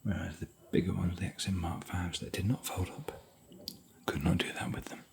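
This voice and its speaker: A man whispering softly in a rambling, chatty, gentle way while hosting an ASMR hotel room tour. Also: low-pitched, steady tone, normal speed, gravelly. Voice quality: soft whisper.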